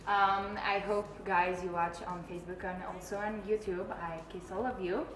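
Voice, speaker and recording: A woman's voice: medium pitch, fairly even intonation, normal speed, a bit bright. A woman in her late teens with a Romanian accent, casually introducing herself as a pageant contestant. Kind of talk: casual self-introduction on a live stream. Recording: echoey room with faint noise.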